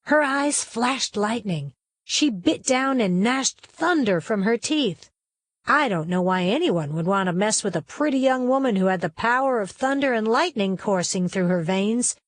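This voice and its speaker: A woman narrates a storybook with expressive, folksy delivery. Her voice sits a little high, and the sound is fairly dry and close. She leans on key words for dramatic emphasis. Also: a little slow, no echo. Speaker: read-aloud narrator.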